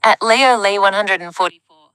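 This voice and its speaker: A woman's synthetic voice reading out live chat messages in a flat, even way. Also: mid-range voice, normal speed, clean tone, dry recording, rough recording. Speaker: text-to-speech chat reader.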